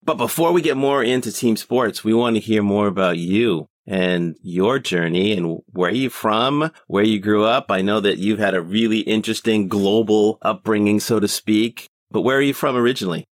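Male podcast host, very low voice, close dry sound, conversational interviewing. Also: moderate pace, few pauses, no room sound.

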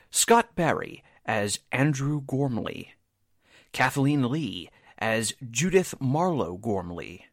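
A man's voice: medium pitch, no echo, clean studio sound. A man reading scripted credits as a radio show announcer, formal and presentational.

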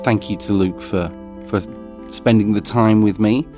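A man's voice: on the low side, fairly even intonation, unhurried, music in the background. A man with a crumpled, papery voice, closing his podcast in a casual, rambling, self-deprecating way. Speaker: podcast host.

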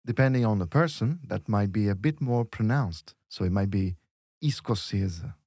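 A man's voice: on the low side, animated, moderate pace, darkish tone, no room sound. Patient male language teacher, dry studio sound. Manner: patient, explains step by step with examples. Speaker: Portuguese teacher. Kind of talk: language lesson dialogue.

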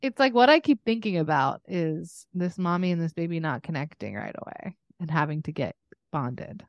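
Low-voiced woman in rambling, casual chat. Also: animated, moderate pace, no room sound, muffled recording. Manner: informal, rambling, thinking out loud. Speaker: podcast co-host. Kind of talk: casual unscripted chat with a friend.

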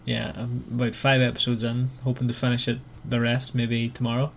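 A man hosting a casual book club chat in a hesitant, halting way, often restarting his sentences. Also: mid-range voice, completely flat delivery, gravelly, a little hiss or hum. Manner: hesitant, halting delivery with repeated false starts. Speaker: podcast host.

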